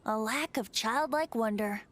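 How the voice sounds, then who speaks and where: A woman's voice: on the high side, unhurried, heavy background noise, close to an everyday voice. A woman voicing a playful, youthful male bard in a video game, airy, teasing and eloquent. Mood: musing. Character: Venti, whimsical playful youthful male bard, secretly a spirit. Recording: game music behind the voice.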